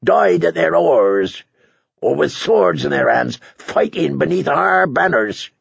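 A man voicing a blunt, earnest lowborn knight in an audiobook, with a gravelly, husky voice and emphatic, plain-spoken delivery. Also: lively intonation, slow, dry recording, muffled recording, exaggerated voice. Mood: impassioned pleading.

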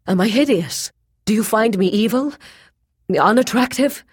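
Proud shapeshifter man played by a female reader. Raised, loud, husky, wildly imploring, fantasy audiobook. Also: fairly low pitch, lively intonation, moderate pace, pitched above the performer's own voice. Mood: wounded, passionate. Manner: urgent, imploring, intense delivery. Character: proud, passionate male shapeshifter, pleading wildly.

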